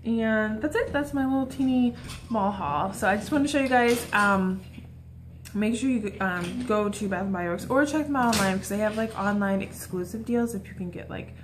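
A woman's voice: mid-range voice, normal speed, background noise. A young adult woman chatting casually and informally to the camera as a shopping vlogger. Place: small room at home, indoors.

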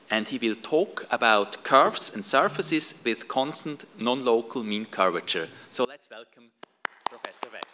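Lively bright man introducing a speaker. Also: mid-range voice, a little hiss or hum. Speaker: session chair introducing speaker. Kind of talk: formal introduction. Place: large auditorium stage. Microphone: podium microphone.

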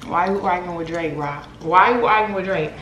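A woman's voice: fairly low pitch, moderate pace, fairly bright tone, reverberant, a little hiss or hum. A young woman chatting candidly to her viewers and her dog in a casual vlog. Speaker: vlogger. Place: apartment rooms and a parked car.

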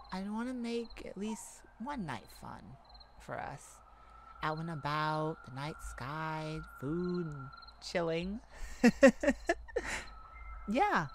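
A jovial, loving girlfriend, played by a woman chats intimately in an ASMR roleplay. Her voice sits slightly low and she talks slowly, with playful affection. Ambient sound effects run behind her. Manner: playful, affectionate, chatty with frequent giggles. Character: jovial, affectionate girlfriend treating partner to a night out. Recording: clear background ambient noise.

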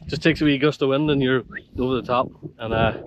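A man's voice: medium pitch, fairly even intonation, brisk. A man in his forties chatting casually and colloquially to a camera as he vlogs a hike outdoors. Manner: colloquial, matey. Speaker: hiking vlogger. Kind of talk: casual outdoor vlog chat. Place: open mountain hillside, outdoors. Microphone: action camera at arm's length. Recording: windy outdoor action-camera audio, loud noise. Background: loud wind noise.

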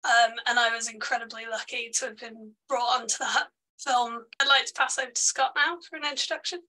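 Young female host, bright tone, measured, webcam audio. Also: fairly high pitch, fairly even intonation, rough recording. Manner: measured, with fillers. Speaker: VFX editor, panel host. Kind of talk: casual panel introduction. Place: home room. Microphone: webcam on a video call.

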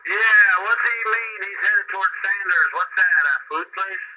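Very sharp-sounding Midwestern man over CB radio. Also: sits high, a little slow. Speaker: CB radio operator. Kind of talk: casual radio chatter in CB lingo. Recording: sharp, noisy CB radio transmission.

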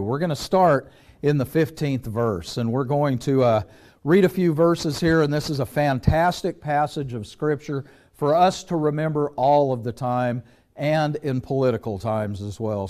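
A man's voice: fairly high pitch, a little mellow. Middle-aged man preaching a conversational sermon. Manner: conversational preaching, walks the stage with rhetorical questions. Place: small church sanctuary.

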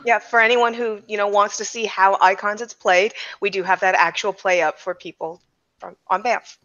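A woman's voice: mid-range voice, steady tone, moderate pace, fairly bright tone, dull audio. A young adult woman hosting a casual podcast chat from home, speaking into a headset on a video call. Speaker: podcast host.

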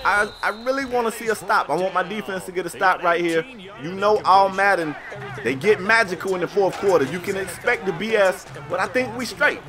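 An American man giving casual, slangy play-by-play commentary on a sports video game in an animated storytelling manner. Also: sits high, fairly quick, a crowd in the background.

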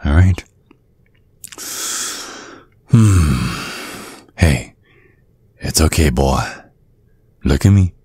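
A man voicing a courtly, protective old vampire father in an ASMR roleplay, speaking in a measured, formal, politely threatening way. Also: a bass voice, lively intonation, dark tone, husky, exaggerated voice. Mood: hushed, commanding.

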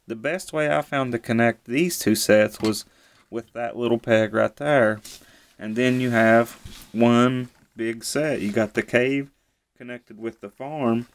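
A man casually reviewing a toy set at home, relaxed and easygoing, often repeating how cool it is. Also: medium pitch, unhurried. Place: indoors at a table.

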